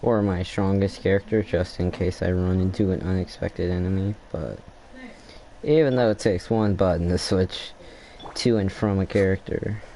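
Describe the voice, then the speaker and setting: A man's voice: low voice, fairly even intonation, normal speed, mellow, slight background noise. A man giving casual commentary on his gameplay, rambling as he thinks aloud and trailing off mid-sentence. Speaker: gameplay streamer.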